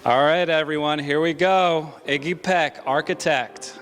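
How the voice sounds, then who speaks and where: High-voiced man reading slowly over music. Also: steady tone, a bit bright. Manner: theatrical, measured storytelling. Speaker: storybook reader. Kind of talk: rhyming children's picture book read aloud with music. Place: live reading before an audience. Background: musical accompaniment.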